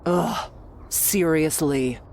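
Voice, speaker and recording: Stern female vampire guard, played by an actress: very low register, unhurried, commanding, protective, audio drama. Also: a little mellow, a little hiss or hum. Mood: exasperated, firm. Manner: curt, scolding, commanding. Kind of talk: scripted roleplay audio drama.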